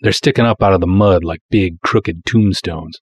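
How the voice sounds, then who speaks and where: A man's voice: deep voice, normal speed, husky, no room sound. A man playing a proud, folksy small-town cop who narrates his own pulp detective story in breezy, wisecracking, colloquial style. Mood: suspenseful. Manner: breezy, colloquial, hard-boiled wisecracking delivery. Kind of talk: dramatic first-person pulp story narration.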